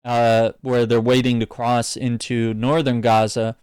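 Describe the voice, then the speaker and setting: A man hosting casual political commentary, reacting to clips in a halting way and often repeating his words. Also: medium pitch, flat delivery, a little mellow, a little hiss or hum.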